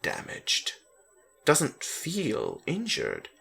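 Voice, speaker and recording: A man's voice: on the high side, huge pitch swings, extremely slow, creaky, a bit bright, slightly rough, pitched above the performer's own voice, heavily acted. A man voicing a wary, easily frustrated male patient who stammers when shaken, in a dramatic story reading. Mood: puzzled.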